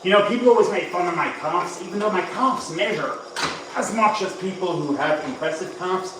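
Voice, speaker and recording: A man's voice: sits high, normal speed, background noise. A man born in Tennessee and raised in Ireland, a bodybuilding coach chatting casually with his live-stream audience between sets at the gym. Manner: chatty, rambling, answers chat while training.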